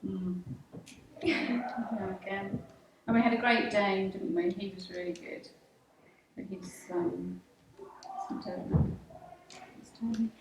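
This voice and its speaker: A woman's voice: medium pitch, fairly even intonation, takes it slowly. A middle-aged woman reminiscing casually as a local interviewee. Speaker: local interviewee and pantomime participant. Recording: very echoey room, distant mic, faint noise.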